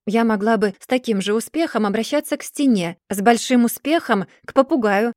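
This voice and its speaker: A woman's voice: a little high, brisk, a little mellow, no room sound, clean studio sound, natural voice. A woman voicing a haughty society lady who scolds her husband in a cool, superior way, in a storybook reading. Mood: scolding. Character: haughty cold society lady, a rich man's wife.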